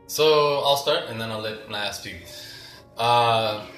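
Bright-voiced young man from Ohio. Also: fairly low pitch. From Ohio, United States. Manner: easygoing and explanatory, quick in bursts. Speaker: guest. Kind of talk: casual interview. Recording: echoey room with faint music.

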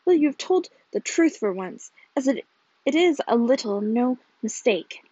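A woman's voice: on the high side, expressive, measured pace, dark tone, breathy edge, no room sound, lo-fi sound. A woman voicing a sulky, boastful, bad-tempered young man in a storybook, with a snarling, mocking manner.

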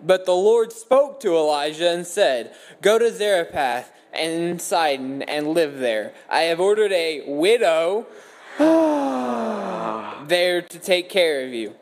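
A man's voice: on the high side, very animated, takes it slowly. A man reading a Bible story aloud from a script as a dramatic narrative. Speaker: scripture reader. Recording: echoey hall with long reverb.

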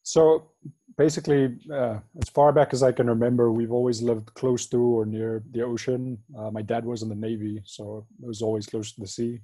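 A man from South Africa, in his thirties, telling his story as a guest in a casual interview, relaxed and full of fillers. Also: medium pitch, moderate pace, no room sound, low-quality recording. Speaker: guest, freediving instructor.

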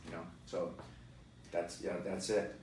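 A man in his forties speaks with a very deep voice, talking fitness in a loose podcast chat. He is emphatic and energetic, leaning on "you know" and "right?". The mic is distant in an echoey room, with faint noise. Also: unhurried. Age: 40s. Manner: energetic, emphatic, many fillers. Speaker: fitness guest expert.

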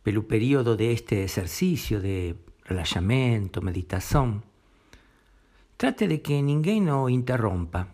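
A man narrates a guided meditation at a slow pace, with a mid-pitched voice. He gives unhurried instructions in short phrases, leaving long pauses between them. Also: big pitch swings, a little mellow.

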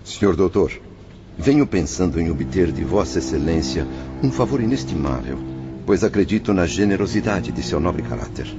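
A muffled radio drama recording with music behind an ill-tempered, anxious patient. His low voice is pompous and ornately formal, full of fretful self-importance. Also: animated, moderate pace. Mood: formal, hopeful. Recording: muffled band-limited audio with music behind.

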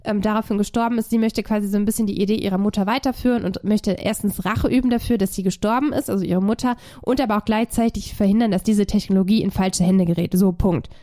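A woman's voice: mid-range voice, fairly even intonation, fast talker, few pauses, dark tone. Woman with a cold chatting about a film. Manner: rambling, many fillers and restarts. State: has a cold. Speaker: podcast co-host. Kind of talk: casual film review chat.

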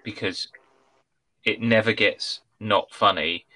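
A man's voice: on the low side, slow, bright, forceful tone, poor audio. A British man co-hosting a podcast with casual, sweary comic banter and jokey storytelling. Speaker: podcast co-host.

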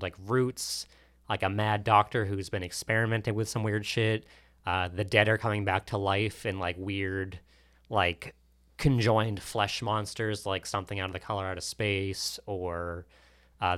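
A man's voice: lowish voice, a bit bright, clean tone. A man co-hosting a podcast, talking through a film in a casual, rambling way with frequent asides. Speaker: podcast co-host. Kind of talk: casual movie discussion.

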